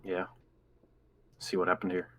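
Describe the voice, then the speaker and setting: A man's voice: deep voice, monotone, unhurried, bright, forceful tone. A man joking and chatting casually as a player in a tabletop roleplaying game, on a noisy microphone.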